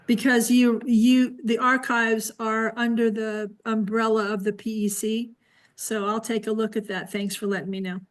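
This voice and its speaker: A woman with a somewhat high-pitched voice gives an informal presentation. She explains things conversationally and fields questions as the presenter of the briefing. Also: level tone, moderate pace.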